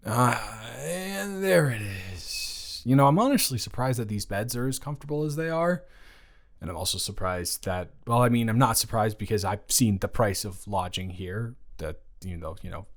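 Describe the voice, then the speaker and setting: A man's voice: lowish voice, lively intonation, normal speed. A young man playing a shy, good-natured college dormmate in a romantic audio roleplay, stammering and rambling hesitantly. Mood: amused, relaxed. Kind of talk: intimate romantic audio roleplay, one-sided conversation.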